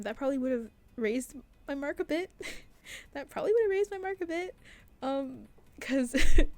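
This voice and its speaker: A woman's voice: fairly high pitch, a little mellow. A young woman student vlogging casually, rambling with many fillers and restarts. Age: young adult. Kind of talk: casual devlog chat.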